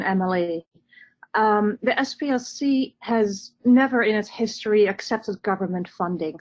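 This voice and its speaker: Dutch-accented woman, earnest and measured. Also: medium pitch, dry recording, dull audio. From Netherlands. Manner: earnest, measured, with small restarts. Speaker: nonprofit representative. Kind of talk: webinar panel answers.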